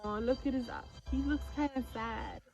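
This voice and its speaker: A woman reading a picture book aloud in an animated way, asking young listeners questions as she goes. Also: a little high, moderate pace, gravelly. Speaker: children's story-time reader. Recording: dull lo-fi audio with music behind.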